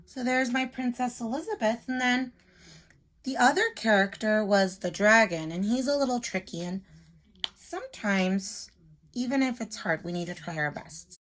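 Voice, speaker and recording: A woman's voice: fairly high pitch, normal speed. A woman teacher reading aloud and explaining a simple lesson to young children step by step. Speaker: elementary school teacher.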